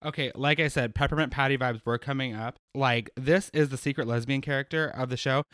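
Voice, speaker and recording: A man chatting on a podcast, rambling through his points with frequent fillers and giggles. His voice sits at an average pitch and is recorded close and dry, with no room sound. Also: steady tone, a little fast, fairly bright tone, clear, resonant tone, no echo. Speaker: podcast co-host. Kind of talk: casual chat.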